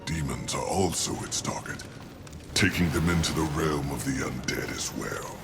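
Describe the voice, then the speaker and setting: A man's voice: rumbling low, normal speed. A man voicing a weary, grandiose angel commander in a video game, speaking in a solemn, formal, theatrical way. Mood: grave. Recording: music behind.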